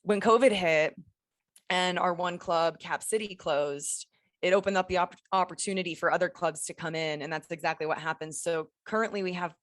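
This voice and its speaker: A woman's voice: lowish voice, normal speed, a bit bright, dry recording. An American woman from Texas chatting casually as a guest comedian, telling things informally with joking asides. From Texas, United States. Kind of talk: casual chat.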